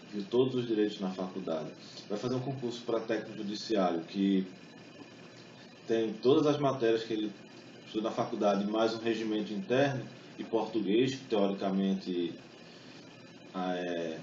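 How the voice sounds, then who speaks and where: A man's voice: lowish voice, a little mellow, a little hiss or hum. Man speaking in an echoey hall. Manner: conversational, many fillers and tag questions. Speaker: speaker giving a talk. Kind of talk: public talk with exam study tips. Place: event hall. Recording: distant echoey hall mic, dull sound.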